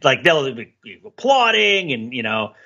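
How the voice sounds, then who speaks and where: Bright-voiced man chatting on a podcast. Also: medium pitch, big pitch swings, a little slow, muffled recording. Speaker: podcast co-host. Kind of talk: casual conversational podcast talk.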